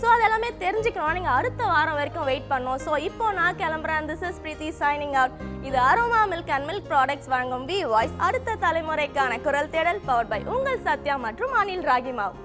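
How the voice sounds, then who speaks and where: A woman presents a TV show in a high voice. She addresses viewers in an upbeat hosting style, slipping English words into her speech, with music playing behind her. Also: very animated, normal speed. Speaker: television show host.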